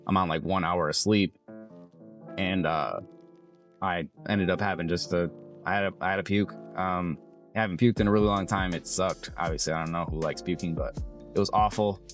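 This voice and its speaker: Hoarse, raspy low-voiced man. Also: steady tone, normal speed, faint music behind. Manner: rambling, loses his train of thought. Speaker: vlogger. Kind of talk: casual vlog chat.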